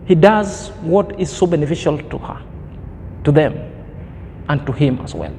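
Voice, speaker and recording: Preachy high-voiced man in his thirties. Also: expressive, normal speed, vocal fry, darkish tone, in a large hall, slight background noise. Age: 30s. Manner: preachy, emphatic, fond of grand words. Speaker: commentary vlogger. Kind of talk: opinion commentary monologue.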